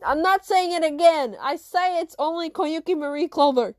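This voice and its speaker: A woman voicing an indignant, sassy middle-school girl in a story, loud, huffy and insistent. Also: high-pitched, lively intonation, slow, no echo, studio quality. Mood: stubborn.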